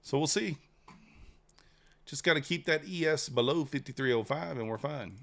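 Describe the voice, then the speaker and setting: Relaxed rambling man livestreaming trades. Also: a little high, normal speed. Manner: relaxed, rambling, reads chat aloud. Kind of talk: casual livestream chat while trading.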